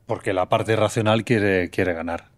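A man's voice: lowish voice, steady tone, unhurried. A man from Menorca hosting a conversational interview, reflective and telling a personal story at length. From Menorca, Spain.

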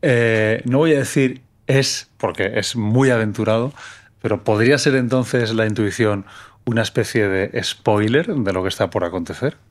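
A man's voice: lowish voice, normal speed. A middle-aged man from Menorca with an accent from Spain, speaking in a reflective, conversational interview style, feeling his way and repeating words for emphasis. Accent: Spanish from Spain.

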